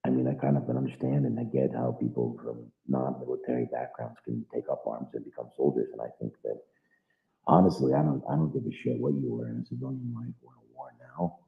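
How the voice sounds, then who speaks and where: A man's voice: a bass voice, quick pace, creaky, soft background music. A man from the United States who volunteers as a fighter in Ukraine, talking earnestly and bluntly in a live audio chat, with the odd curse word. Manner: earnest, philosophical, blunt with occasional swearing. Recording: echoey, dull lo-fi online audio room.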